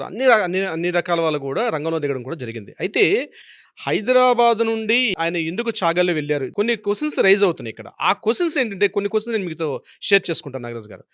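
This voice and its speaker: Telugu male advocate, high-pitched and rapid, emphatic explainer, dry sound. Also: fairly bright tone, dry recording. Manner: emphatic, repeats himself and poses rhetorical questions. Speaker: advocate commenting as a legal expert. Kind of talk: explanatory legal news commentary.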